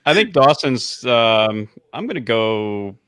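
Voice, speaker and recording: A man's voice: medium pitch, big pitch swings, slow, a bit bright, slight background noise. A man guesting on a casual podcast over a video call, joking and self-deprecating. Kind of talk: casual chat.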